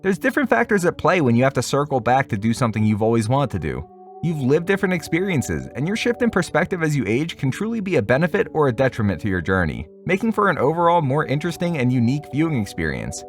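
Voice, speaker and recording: Animated man, wry video reviewer. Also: mid-range voice, quick pace, soft background music. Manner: wry, sarcastic asides. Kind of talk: scripted narration with casual asides.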